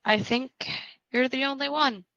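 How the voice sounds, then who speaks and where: A woman playing a cocky, sardonic young psychiatric patient, self-assured and deadpan in a script read. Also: sits high, moderate pace, gravelly, no echo, dull audio, exaggerated voice. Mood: defensive. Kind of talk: dramatic table read of a screenplay.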